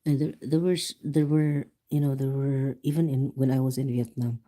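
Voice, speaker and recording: A host from the Philippines with a Filipino accent, chatting casually and quietly on a livestream from home. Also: medium pitch, level tone, normal speed. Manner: speaking softly and quietly to avoid disturbing neighbors. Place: home, indoors.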